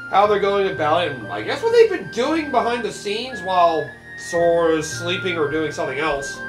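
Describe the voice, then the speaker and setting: A man's voice: high voice, very animated, normal speed, reverberant, over music, rough recording. A man co-hosting a let's play, rambling and hesitant with many fillers. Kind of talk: casual commentary chat.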